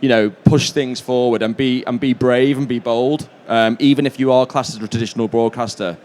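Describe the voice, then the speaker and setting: A man speaking as a panelist, conversational and halting, often repeating words as he goes. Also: medium pitch, steady tone, darkish tone. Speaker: panelist from a broadcaster. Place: conference event panel. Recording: live panel with background noise.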